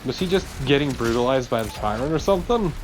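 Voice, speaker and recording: A man's voice: medium pitch, lively intonation, fast, soft background music. A man co-commentating on a game in casual chat with friends. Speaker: let's play co-commentator. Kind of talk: casual chat over gameplay.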